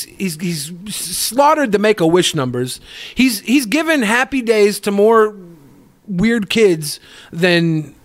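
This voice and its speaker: Male podcast panelist, somewhat high voice, casual banter, crude humor, foul-mouthed. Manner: crude, joking, swears freely.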